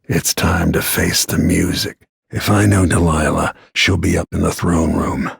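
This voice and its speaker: A weathered male bodyguard turned assassin for a video game. His voice sits low and is full of gravel, with a heavily husky grain. He speaks tersely, with grim, brooding restraint. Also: fairly even intonation, a little slow, dry recording, studio quality, heavily acted. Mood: grim, controlled.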